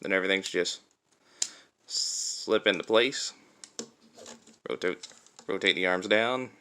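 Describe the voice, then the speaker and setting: Guy doing a casual toy review, kinda low voice but really bright and forward. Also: slow, clean tone. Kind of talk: casual hands-on product demonstration.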